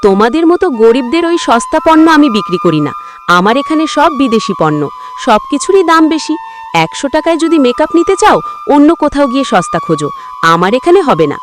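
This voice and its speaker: A woman's voice: high voice, big pitch swings, brisk, over music. A woman voicing a haughty, mean shopkeeper mother in a children's cartoon, snobbish and scornful.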